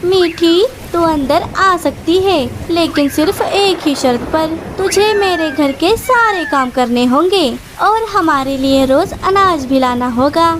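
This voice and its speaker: महिला द्वारा निभाई बहुत ऊँची आवाज़ वाली चालाक कौवी. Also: female voice, very high-pitched, animated, slow, hardly any pauses, background noise, heavily acted. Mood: sly. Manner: sly, bossy. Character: scheming, bossy female crow wife in a children's cartoon. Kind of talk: Hindi children's cartoon dialogue.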